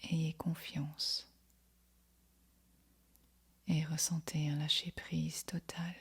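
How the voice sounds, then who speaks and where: Whispery, slow woman guiding meditation. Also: sits low, level tone. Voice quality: breathy, whispery, husky. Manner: soft, soothing, unhurried instruction with long pauses. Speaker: meditation guide. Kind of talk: guided yoga nidra meditation.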